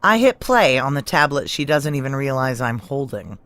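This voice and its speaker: A woman's voice: extremely low pitch, sing-song, moderate pace, a bit bright, exaggerated voice. A woman playing a hard-bitten but lovesick ex-soldier in a noir audio drama, blunt and emotionally raw. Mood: tense, narrating. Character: hard-bitten, lovesick female ex-soldier narrating her own heist.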